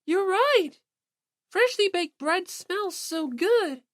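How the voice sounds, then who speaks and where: A woman's voice: very high-pitched, animated, slow, no room sound, studio quality, pitched above the performer's own voice, heavily acted. A woman voicing a sweet, bubbly, fretful teenage idol girl in an audiobook. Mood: chirpy, fretting. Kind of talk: audiobook character acting.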